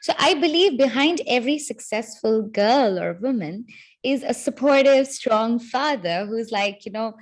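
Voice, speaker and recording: A woman hosts a casual interview in a slightly high voice. She is complimentary and reflective, building long sentences before she puts her questions to her guest. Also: lively intonation, a little slow. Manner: warm and complimentary, speaks in full reflective sentences. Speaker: podcast host, interviewer.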